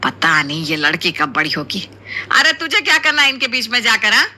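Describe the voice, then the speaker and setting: Woman acting a bright-voiced, doting Indian mother-in-law. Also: fairly high pitch, lively intonation, brisk, slight background noise. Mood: playful scolding. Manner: affectionate, exclamatory, chiding. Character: warm, doting middle-aged Indian mother-in-law, playfully scolding. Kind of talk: Hindi cartoon family story acting.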